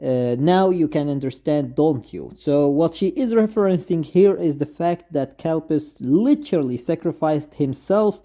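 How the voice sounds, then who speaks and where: Very dark, mellow-voiced halting video commentator. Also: mid-range voice, lively intonation. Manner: halting, repetitive, often says basically and actually. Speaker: video host, game commentator. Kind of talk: informal explanatory commentary on game voice lines.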